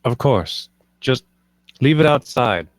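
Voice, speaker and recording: A man's voice: mid-range voice, animated, slow pace, no room sound, clean studio sound. A man playing a guarded, controlling master of a household in a screenplay table read. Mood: curt, dismissive.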